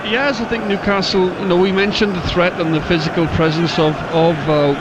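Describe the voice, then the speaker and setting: A Welsh man giving relaxed, chatty football analysis on radio. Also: high voice, brisk, dull audio. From Wales. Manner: relaxed, chatty, many fillers. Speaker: co-commentator. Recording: broadcast with loud stadium noise behind.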